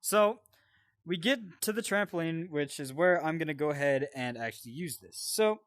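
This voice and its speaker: Animated, higher-pitched man telling a story. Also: moderate pace, no room sound, studio quality. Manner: animated, sweary, digressive. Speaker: storyteller and co-host. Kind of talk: casual personal storytelling with banter.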